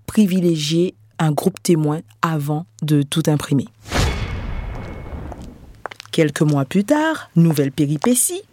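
A middle-aged woman, a researcher, giving a measured, scripted podcast narration. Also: low voice, mellow. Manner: measured, storytelling delivery. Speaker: researcher and lecturer.

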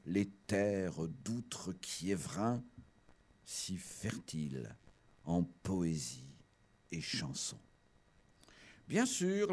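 A man hosting a radio show, chatting with a guest and reading a scripted chronicle in a studio. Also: fairly low pitch. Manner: expressive, lingering over words. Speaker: radio host. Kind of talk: casual chat, then scripted radio chronicle.

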